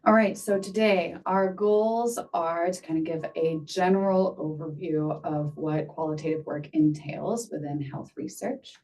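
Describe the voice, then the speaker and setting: A woman in her thirties from Spain, presenting a webinar in an earnest, measured way with frequent fillers. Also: lowish voice, a little mellow, recorded from a distance, rough recording.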